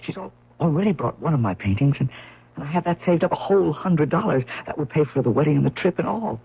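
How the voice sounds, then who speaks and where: An actor playing an earnest, nervous young painter in love in a 1970s radio drama, with a hesitant delivery. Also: male voice, on the high side, lively intonation, fast, creaky, breathy edge, exaggerated voice. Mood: anxious pleading. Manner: hesitant, stammering. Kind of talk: 1970s radio drama acting with first-person narration. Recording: tinny, noisy old radio broadcast.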